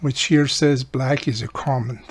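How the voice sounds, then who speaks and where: A man casually hosting a hands-on repair tutorial, explaining step by step and repeating key points, with a gravelly, husky voice. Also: mid-range voice, fairly even intonation, measured pace, slight background noise. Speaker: radio repair video host.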